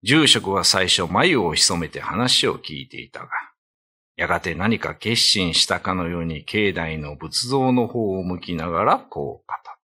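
A man's voice: deep voice, lively intonation, measured pace, pushed and bright, slightly rough. A man narrating a scripted ghost story as a dramatic storyteller. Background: background music.

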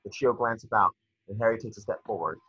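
A young man reads screenplay stage directions as narrator. His voice is fairly low and raspy. It is recorded dry and a bit muffled over a video call. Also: fast talker, sharp tone, no echo.

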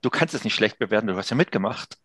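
A man's voice: on the low side, expressive, quick pace, fairly bright tone, no echo. Man in casual joking chat, dry sound. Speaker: audio drama maker, interview guest.

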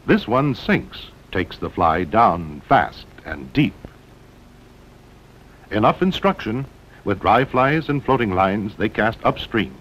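Animated American male narrator, old film sound. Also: slow. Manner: dramatic, punchy short phrases. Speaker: documentary narrator. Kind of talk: 1960s promotional film narration. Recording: muffled old film soundtrack with rumbling noise.

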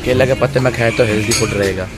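A man's voice: mid-range voice, rapid-fire. A young man vlogging on a walk, talking casually to his viewers about the day and laughing often, filmed on a phone held at arm's length outdoors. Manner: chatty, laughing, addresses viewers as friends. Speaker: travel vlogger. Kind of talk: casual travel vlog. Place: outdoors on busy town streets and at a tourist site. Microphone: phone held at arm's length, selfie. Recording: loud background music over the talk.

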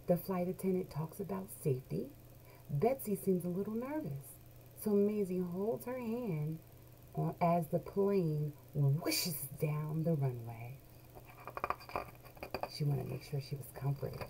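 A woman's voice: on the low side, creaky. A middle-aged woman reading a children's picture book aloud expressively, drawing out key words in a small room at home. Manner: animated storyteller, deliberate, drawn-out key words. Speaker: children's book read-along host. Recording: noisy home webcam recording.

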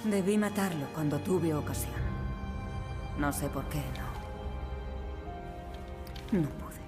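A middle-aged woman acting a bitter, regretful scientist in a video game, hushed and grave, with a Castilian Spanish accent. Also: sits low, moderate pace, over loud music, close to an everyday voice. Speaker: game voice actor.

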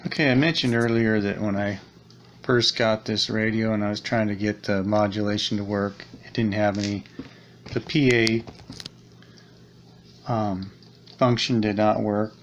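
A man's voice: lowish voice, highs cut off. A man giving a casual, unscripted repair walkthrough, thinking aloud in a hesitant, rambling way, recorded on a handheld camera at a desk indoors. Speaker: hobbyist radio repairer presenting a video. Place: small indoor room at a desk. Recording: steady background noise, handheld camera recording.

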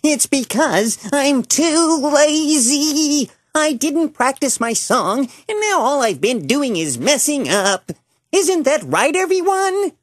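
A woman's voice: high-pitched, sing-song, slow, no room sound, studio quality, exaggerated voice. A woman voicing a lazy, flustered young frog puppet in a children's show, hesitant and forgetful. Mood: dejected.

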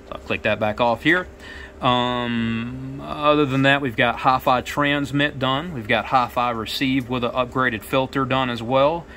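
A man's voice: mid-range voice, fairly bright tone, slight background noise. A man giving an informal product walkthrough as a radio technician, explaining and rephrasing his points. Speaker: radio technician, shop owner. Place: indoor radio workshop with test equipment.

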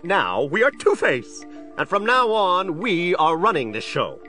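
A man's voice: high voice, big pitch swings, unhurried, pushed and bright, faint music behind, heavily acted. An actor playing a menacing, theatrical crime boss with a split personality in an audio drama, gloating as he talks. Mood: smug, commanding. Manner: theatrical, gloating, speaks of himself as "we".

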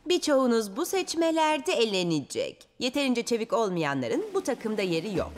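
A woman voicing a haughty, commanding teenage monster queen bee in a cartoon dub, imperious and deliberate. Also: fairly high pitch, big pitch swings, music in the background.

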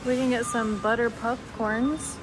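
Woman, slow easy talk, casual shopping vlog, chatty about prices, grocery store, loud store noise. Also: a little high, level tone. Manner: chatty, commenting on items and prices. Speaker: vlogger.

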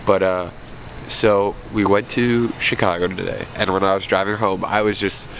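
A man's voice: low-pitched, little pitch movement, moderate pace, vocal fry, fairly bright tone, a little hiss or hum, rough recording. A young man in his twenties with a stuffed-up nose, talking earnestly and rambling into a handheld camera outdoors at night. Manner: reflective, earnest, rambling with restarts. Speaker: vlogger. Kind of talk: casual vlog talk to camera. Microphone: handheld camera at arm's length. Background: crickets.

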